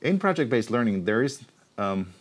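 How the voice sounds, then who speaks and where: A man in his forties answering interview questions in a studio, thoughtful and explanatory, with frequent pauses and restarts, recorded on a lapel mic. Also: mid-range voice, sing-song, breathy edge. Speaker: university researcher, keynote guest. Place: TV studio set.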